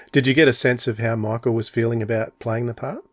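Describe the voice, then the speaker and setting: A man's voice: mid-range voice, no room sound. Male podcast host, very dry close sound. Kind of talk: casual interview chat.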